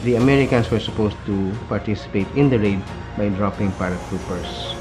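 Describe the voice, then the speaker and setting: A man with a somewhat low voice lays out wartime events step by step. He sounds measured and explanatory. Music runs beneath. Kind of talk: explanatory history account.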